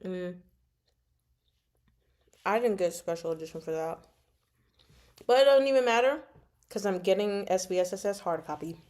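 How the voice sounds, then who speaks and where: A woman's voice: mid-range voice, normal speed, pauses often, darkish tone. A woman hosting a casual book discussion stream, rambling and playful as she thinks aloud and answers viewers. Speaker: host of a book discussion livestream.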